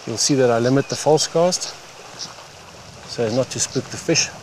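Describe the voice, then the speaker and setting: A man guides the listener as he fishes, speaking in unhurried phrases with pauses. His voice is medium in pitch. He is outdoors by a stream, with clear water and ambient noise behind him. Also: rough recording. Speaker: fly fishing guide. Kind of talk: instructional commentary while fishing. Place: outdoors on a wooded stream bank. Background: running water, outdoor ambience.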